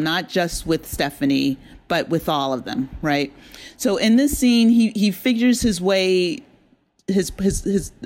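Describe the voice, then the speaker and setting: A woman being interviewed as a drama creator, talking casually with an animated, enthusiastic manner. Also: low voice.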